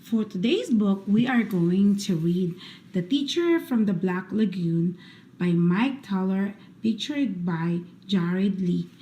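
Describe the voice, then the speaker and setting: A woman hosting a read-aloud for children, reading picture books in an expressive, deliberate bedtime storytelling style. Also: medium pitch. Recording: roomy echo, faint background noise.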